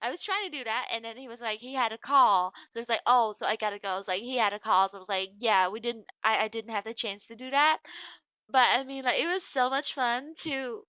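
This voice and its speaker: A woman's voice: fairly high pitch, a little fast, bright, forceful tone, clean tone, no echo, poor audio. A woman in her twenties chatting casually as an English tutor, telling stories full of "like" and acting out what people said. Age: 20s. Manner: chatty storyteller, many "like"s, acts out quotes. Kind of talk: casual conversation practice.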